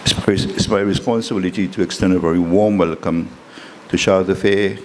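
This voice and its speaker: Deep-voiced Trinidadian man in a reverberant hall. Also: fairly even intonation, brisk, a little mellow. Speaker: city mayor giving welcome. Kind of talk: formal welcome speech. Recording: echoey reverberant hall, clearly noisy background.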